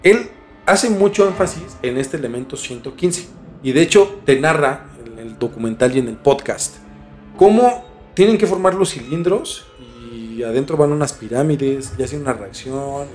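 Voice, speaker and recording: A Mexican man hosting a podcast, telling things in an informal, halting way with some mild swearing. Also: mid-range voice, animated, a little mellow, soft background music. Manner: informal, halting, mildly profane. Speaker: podcast host. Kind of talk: casual storytelling discussion.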